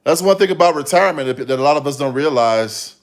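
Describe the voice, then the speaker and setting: A man's voice: on the high side, expressive, fast. A man in his fifties hosting a livestream, chatting casually with viewers in a rambling way and often repeating himself. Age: 50s. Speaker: livestream host.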